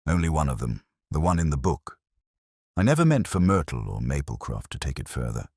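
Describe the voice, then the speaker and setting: A man's voice: rumbling low, big pitch swings, fairly quick, darkish tone, slightly rough, no echo, clean studio sound, heavily acted. A man voicing an uneasy, evasive woman in a mystery story, hesitant and defensive as a suspect. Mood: guilty, resentful. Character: uneasy, evasive woman confessing to a petty scheme.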